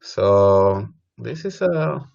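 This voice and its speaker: A man's voice: on the low side, sing-song, extremely slow, creaky, darkish tone. A man from Sweden, originally Colombia, giving conversational chess analysis as a guest commentator, hesitantly thinking aloud. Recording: slightly dull, lo-fi audio.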